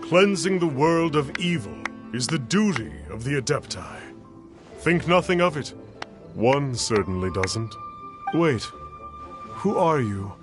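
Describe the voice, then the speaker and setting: A man's voice: medium pitch, big pitch swings, unhurried. An actor playing a grandiose man posing as an immortal in a game, speaking of himself in stiff, archaic words. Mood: lofty. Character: pompous self-styled male immortal, a fraud. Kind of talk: game cutscene voice acting. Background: game music.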